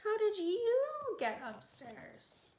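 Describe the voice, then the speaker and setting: A woman's voice: very high-pitched, wildly swooping pitch, extremely slow, darkish tone, a little hiss or hum, pitched above the performer's own voice, full character voice. A woman voicing a puzzled male night watchman who exclaims in surprise.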